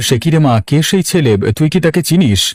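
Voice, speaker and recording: A man voicing a gentle, ailing middle-aged village father in a cartoon, with a questioning manner. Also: a little high, animated, fast, no echo, clean studio sound. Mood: concerned. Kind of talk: animated story voice acting.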